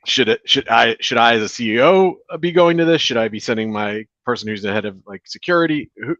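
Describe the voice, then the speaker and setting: A man hosting a casual video-call interview from a beach house, relaxed and chatty with filler words. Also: mid-range voice, fairly quick, no echo. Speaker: show host. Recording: dry, dull lo-fi audio.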